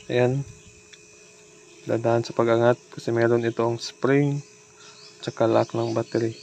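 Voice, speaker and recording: A man's voice: medium pitch, unhurried, creaky. A man with a Filipino accent casually talks through a hands-on repair tutorial, informal and instructional as he works. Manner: informal, instructional, talking while working. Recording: steady background noise with insect-like chirping.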